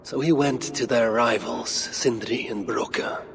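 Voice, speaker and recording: Grave, cunning male Norse god for a game; slow, gravelly delivery, measured and secretive. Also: medium pitch, fairly even intonation, a crowd in the background. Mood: solemn storytelling. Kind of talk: video game character acting.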